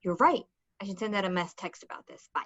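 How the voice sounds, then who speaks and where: A woman's voice: mid-range voice, fast, a bit bright, natural voice. A woman playing a blunt, snarky younger sister in a comedy table read, on dull lo-fi sound.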